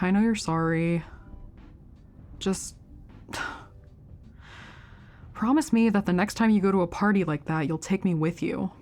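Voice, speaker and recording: Woman acting a teasing, caring older sister, low-toned. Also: a little hiss or hum, close to an everyday voice. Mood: concerned, protective.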